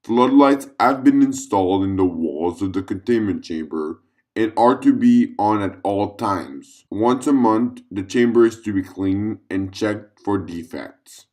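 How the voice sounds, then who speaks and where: A man reading a scripted, clinical containment report in a formal, measured way as narrator of a fiction audio log. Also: on the low side, darkish tone.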